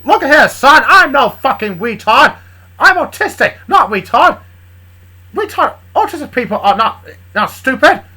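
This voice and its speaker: A woman's voice: sits high, very animated, measured pace, pushed and bright, breathy edge, pitched above the performer's own voice, heavily acted. A woman voicing an indignant, blustering male cartoon rooster with a put-on American Southern accent. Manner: blustering, emphatic, repetitive.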